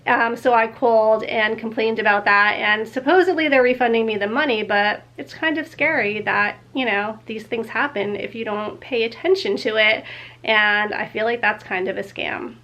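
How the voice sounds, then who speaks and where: A middle-aged woman vlogging casually at home with a nasal, congested voice and a sore throat, chatty and quick to laugh. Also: on the high side, bright, forceful tone, poor audio. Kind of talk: casual chat to camera.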